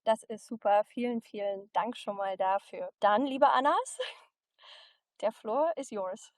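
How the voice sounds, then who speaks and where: A woman's voice: mid-range voice, slow pace, no echo. A woman hosting and moderating a webinar, friendly and a bit hesitant. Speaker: webinar host.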